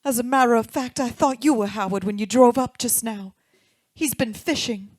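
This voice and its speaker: A woman's voice: on the high side, normal speed, no echo, close to an everyday voice. A woman playing an anxious, well-off young married woman in an old-time radio drama, earnest and genteel. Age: young adult.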